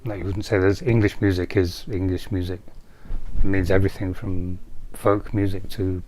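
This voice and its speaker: A man's voice: sits low, little pitch movement, moderate pace, a little mellow, a little hiss or hum, rough recording. A man who grew up in Wales, talking casually in a documentary interview, repeating himself as he rambles. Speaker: musician.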